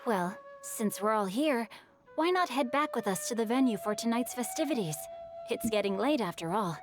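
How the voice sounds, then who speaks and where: A woman plays a courteous teenage boy scholar in a game. The voice is light and fairly high, with formal, eloquent phrasing and music underneath. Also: animated, moderate pace, close to an everyday voice. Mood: inviting. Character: polite, bookish, well-bred teenage boy poet. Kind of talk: video game voice acting.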